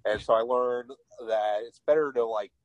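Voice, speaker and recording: A man's voice: medium pitch, normal speed, dry recording. A man in his thirties bantering and rambling jokingly on a remote call. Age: 30s. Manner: joking, rambling, playfully boastful. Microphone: remote call, signal cutting out.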